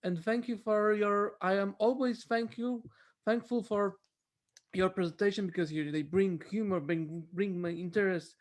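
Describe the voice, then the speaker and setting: A man moderating an academic meeting over a video call, halting and polite, often repeating words as he thanks the speaker. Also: high-pitched, steady tone. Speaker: symposium organizer and moderator.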